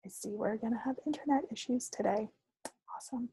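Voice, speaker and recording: A woman's voice: on the high side, quick pace, warm tone, a little hiss or hum, low-quality recording. A woman co-hosting a podcast, chatting casually in a playful, wry way. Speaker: podcast co-host. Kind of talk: casual chat.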